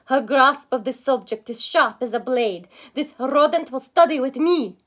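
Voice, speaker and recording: A woman's voice: sits high, normal speed, a bit bright. A woman voicing a stern, imposing college professor in a storybook, speaking in an emphatic, declamatory way. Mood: proclaiming. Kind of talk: storybook character voice.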